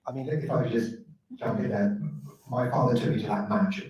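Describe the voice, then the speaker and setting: A man's voice: medium pitch, brisk, reverberant, far from the mic, a loud crowd, low-quality recording. A man on a conference panel, jumping in with an amused story.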